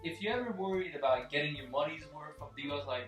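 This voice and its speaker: A man's voice: fairly high pitch, quick pace, fairly bright tone, far from the mic. A man chatting casually about films as a panelist, joking and swearing freely. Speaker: panelist on a film review. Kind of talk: casual chat, opinionated film talk. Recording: echoey room, off mic, music behind.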